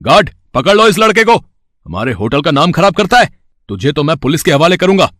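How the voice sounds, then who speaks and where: A man's voice: fairly high pitch, very animated, brisk, a bit bright, dry recording, studio quality. A man playing a stern, indignant hotel manager in a dramatised moral story, commanding in manner.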